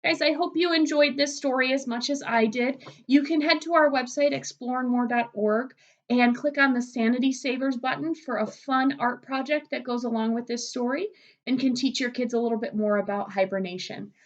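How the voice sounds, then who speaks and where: High-voiced American woman reading a children's story. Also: highs cut off. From United States. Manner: drawn-out, rhythmic, expressive delivery. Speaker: museum educator, storyteller. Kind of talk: children's picture-book read-aloud.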